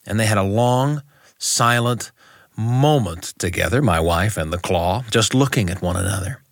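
A middle-aged man telling a personal story as a scripted monologue, reflective and deliberate, speaking in short phrases with pauses. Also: fairly low pitch, expressive, no room sound, clean studio sound.

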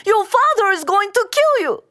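A woman's voice: very high-pitched, sing-song, normal speed, pushed and bright, no room sound, studio quality, pitched above the performer's own voice, heavily acted. A woman voicing an earnest, emotional village wife and mother in a live folktale. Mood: screaming, angry.

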